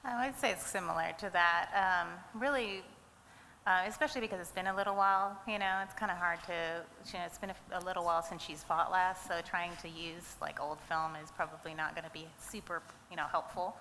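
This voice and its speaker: Woman fighter, lowish voice, bright tone, rambling with fillers, hall reverb. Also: fairly even intonation, brisk. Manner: thoughtful, rambling, many fillers. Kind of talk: press conference answer. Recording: roomy hall, faint noise.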